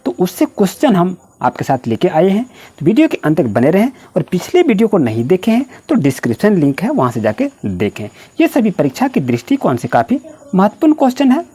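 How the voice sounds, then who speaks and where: Female online tutor. Slightly low register, very wide pitch movement, instructional step-by-step dictation to pupils. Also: huge pitch swings, brisk, warm tone, slightly rough. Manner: directive, repetitive step-by-step explaining. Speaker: online school history teacher. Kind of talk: instructional lesson, dictating answers to students.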